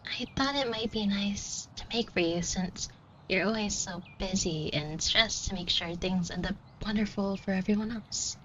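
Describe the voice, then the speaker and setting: A shy, fond florist, acted by a woman in an audio roleplay, heard through slightly dull audio with some noise. Her voice sits at a middling pitch. She is hesitant and stammers as she talks straight to the listener, putting herself down a little. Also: moderate pace, fairly bright tone, lightly acted. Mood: casual, bashful. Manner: hesitant, stammering, self-deprecating, colloquial. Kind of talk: scripted roleplay acting addressed to the listener. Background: shop ambience sound effects.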